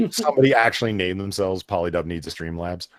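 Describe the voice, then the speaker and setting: Guy just chatting, swears a lot, very animated pitch, dry close sound. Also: fairly quick, no room sound. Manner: sweary, exclamatory. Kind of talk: casual chat.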